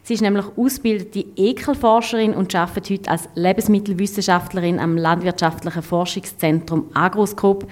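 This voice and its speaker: A woman's voice: mid-range voice, steady tone, fairly quick, hardly any pauses, darkish tone. Podcast host, woman, mid-range voice, Swiss German dialect, informal conversational interviewing. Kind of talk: casual interview chat.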